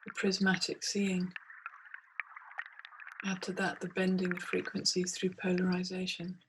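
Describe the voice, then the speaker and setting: A woman leading a guided meditation in a measured, hypnotic way, with deliberate phrasing and pauses. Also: lowish voice, monotone, noisy background. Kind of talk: guided meditation, scripted narration.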